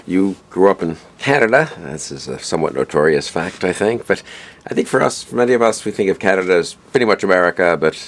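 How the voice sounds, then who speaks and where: Deep-voiced man hosting an interview. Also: animated, quick pace. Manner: easygoing, prompting questions. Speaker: interview host. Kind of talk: conversational interview questions.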